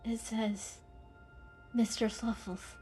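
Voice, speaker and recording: A woman voicing a shy, gentle female cat in a storybook reading, soft and hesitant. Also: fairly high pitch, little pitch movement, takes it slowly, faint music behind.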